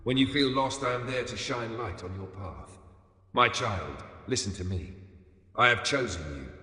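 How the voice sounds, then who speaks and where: A man giving a scripted religious narration as the voice of God, solemn and soothing, in short deliberate phrases. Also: fairly low pitch, lively intonation, sharp tone. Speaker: devotional narrator speaking in the voice of God. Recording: echoey, long reverb over music. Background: music.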